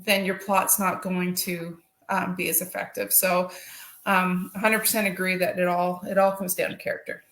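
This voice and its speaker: A woman's voice: lowish voice, flat delivery, normal speed, a bit bright. A young woman, an author and co-host, talking in an easygoing way, often laughing and saying "like". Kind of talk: casual book chat. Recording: echoey room.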